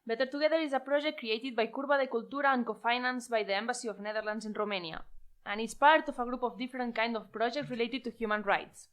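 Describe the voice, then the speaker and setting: A woman's voice: on the high side, fast. A woman hosting a podcast, introducing the topic and asking her guests questions with light hesitations. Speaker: podcast host.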